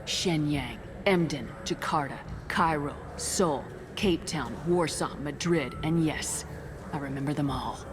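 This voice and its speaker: Low-voiced, tough commander. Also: female voice, unhurried, noisy background. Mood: defiant, solemn. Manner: firm, terse, commanding. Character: tough, resolute female space marine commander. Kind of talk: video game acting.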